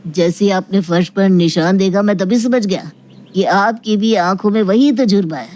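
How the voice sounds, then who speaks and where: Casting: performer as fussy male doctor in a comic story, mellow dark tone, plaintive and confiding. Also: mid-range voice, normal speed, natural voice. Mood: exasperated, confiding. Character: fussy male doctor obsessed with mopping floors, exasperated.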